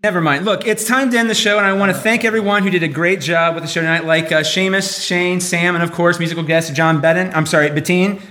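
Bright, high-voiced man hosting a live show. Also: steady tone, quick pace, hardly any pauses. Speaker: host of a live variety show. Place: live event in a comics shop. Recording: echoey live room with faint crowd.

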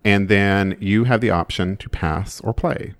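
A man's voice: fairly low pitch, a little slow, dry recording. A man co-hosting a board game podcast, teasing his friend and walking through the rules in a playful, chatty way. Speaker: podcast co-host. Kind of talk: casual chat about board games.

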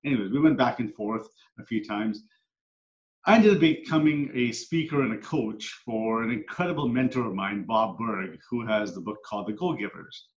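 A man's voice: lowish voice, moderate pace. A middle-aged man, a coach and speaker, telling his story as a podcast guest in an earnest, reflective way. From Canada, moved there at ten. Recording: echoey room, dull lo-fi sound.

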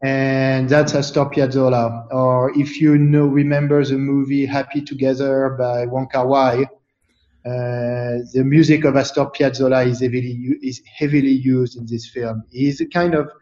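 A man from France, speaking as an interview guest in a thoughtful, halting way with many fillers and restarts. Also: mid-range voice, flat delivery, a little mellow, roomy sound, highs cut off. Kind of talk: casual interview chat.

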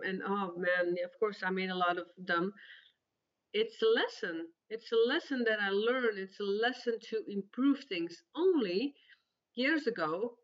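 A woman's voice: medium pitch, fairly bright tone, highs cut off. A woman from Belgium, chatting as a guest on an interview podcast and telling her own story in a reflective way. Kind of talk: casual interview chat.

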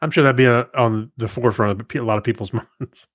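A man's voice: mid-range voice, quick pace, no echo, clean studio sound. A man co-hosting a podcast, reading notes and trivia and trading wry, casual banter. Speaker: podcast co-host. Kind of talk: casual chat with listener feedback and trivia.

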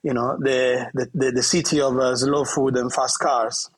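Italian-accented man with a husky voice. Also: medium pitch, flat delivery. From southern Italy. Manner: halting, many restarts and fillers, laughs often. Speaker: guest bartender. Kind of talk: casual interview chat.

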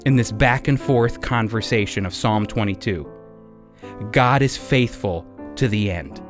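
An American man hosting a radio Bible program, teaching in an earnest, emphatic sermon style with deliberate phrasing. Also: lowish voice, over music. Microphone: close studio microphone.

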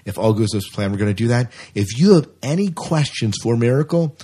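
A man's voice: medium pitch, animated, a little fast, mellow, husky. A man hosting a podcast in a casual, chatty way, sometimes repeating himself. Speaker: podcast host.

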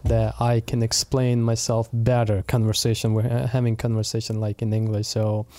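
A man's voice: lowish voice, moderate pace, dry recording. A young man whose first language is Punjabi, an associate pastor, chatting casually in an interview with many fillers and easy laughs. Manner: relaxed and friendly, laughs easily, many fillers and restarts. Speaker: associate pastor of local mission.

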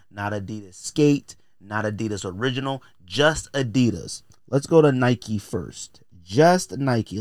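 A man's voice: mid-range voice, no room sound, studio quality. A man hosting a podcast in a casual, opinionated rant, emphatic and exasperated, repeating phrases to drive points home. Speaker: podcast host.

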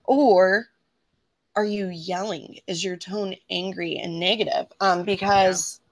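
A woman's voice: mid-range voice, steady tone, slow. A woman who teaches preschool, talking casually and rambling a little as she chats with fillers. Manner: chatty, rambling with many fillers. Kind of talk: casual chat.

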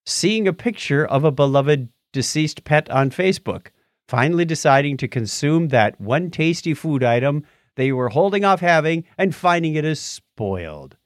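A man's voice: fairly high pitch, no room sound, clean studio sound. A man co-hosting a podcast, talking casually and playfully as he tells stories and reads out listener comments. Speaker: podcast co-host.

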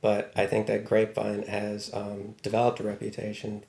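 Guy from baltimore, chatty interview guest, gravelly voice, echoey room. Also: fairly low pitch, completely flat delivery, moderate pace, darkish tone. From Baltimore, USA. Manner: thoughtful, wry, many fillers. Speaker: news writer, guest. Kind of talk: casual interview talk.

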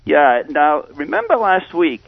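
Man, phone-in caller, gravelly creak, halting and rambling, casual religious talk, muffled phone audio. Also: a little high, wildly swooping pitch. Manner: hesitant, halting delivery with repeated pauses. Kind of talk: casual, rambling scripture commentary. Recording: dull phone line on radio.

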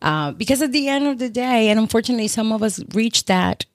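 A woman's voice: a little high, quick pace, no room sound, studio quality. A woman co-hosting a podcast in casual chat, playful and giggly. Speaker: podcast co-host.

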